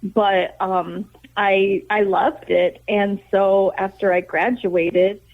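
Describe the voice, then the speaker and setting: A thin, phone-quality line carries an American woman of middle age with a medium-pitched voice. She speaks as an interview guest, telling personal stories in an animated, conversational way. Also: slow pace. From Toledo, Ohio, United States. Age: middle-aged. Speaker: interview guest, theater educator and director. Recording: narrow, tinny phone-like line.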